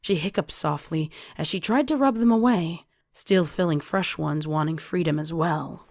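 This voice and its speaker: A woman's voice: low voice, moderate pace, darkish tone, no echo. A woman reading scripted horror fiction as a dramatic story narrator. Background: music under the voice.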